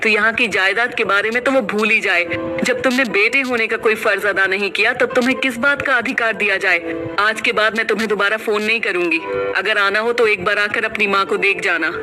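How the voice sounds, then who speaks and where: A grave, scolding aunt, acted by a woman in an animated horror story. She speaks sternly over background music. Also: medium pitch, brisk, lightly acted. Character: stern older aunt, harsh and reproachful.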